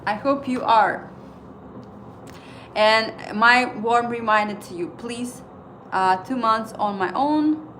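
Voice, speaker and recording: Slow-talking Estonian-accented woman streamer. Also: fairly high pitch, fairly bright tone, slight background noise, low-quality recording. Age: 30s. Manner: chatty, asks viewers questions, halting non-native English with fillers. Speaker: livestream trading host. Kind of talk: casual livestream chat with viewers.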